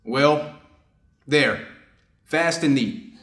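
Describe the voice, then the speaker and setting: A man's voice: mid-range voice, very slow, a bit bright. Man acting a stern doctor in an echoey room. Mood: absorbed, conflicted. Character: stern, conflicted Japanese doctor operating on a wounded enemy. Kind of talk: staged readers' theater acting.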